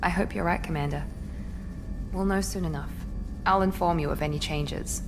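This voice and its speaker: A woman's voice: on the low side, fairly even intonation, normal speed, over music, close to an everyday voice. A woman playing a poised, businesslike starship officer in a video game, professional in delivery. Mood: cautiously hopeful.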